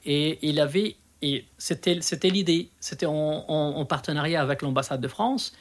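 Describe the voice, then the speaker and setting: Higher-voiced Lebanese man telling his experiences. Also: moderate pace. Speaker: storyteller, interview guest. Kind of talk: conversational storytelling in an interview.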